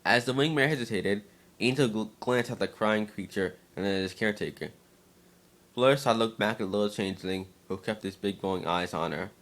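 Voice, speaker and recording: A man narrating fan fiction as a dramatic reading, slightly halting and stumbling over words now and then. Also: fairly low pitch, a bit bright.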